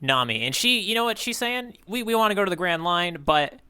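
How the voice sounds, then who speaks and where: Man, forceful bright tone, clear and smooth, rambling casual co-host, hesitant, self-correcting. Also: sits high, big pitch swings, a little fast. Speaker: podcast co-host recapping manga chapters. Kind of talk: casual podcast chat and recap.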